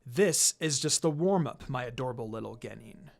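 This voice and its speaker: A man voicing a calm, quietly menacing male ninja mentor in a storybook reading, measured and playfully condescending. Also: medium pitch, creaky, pitched below the performer's own voice. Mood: teasing menace. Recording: music behind the voice.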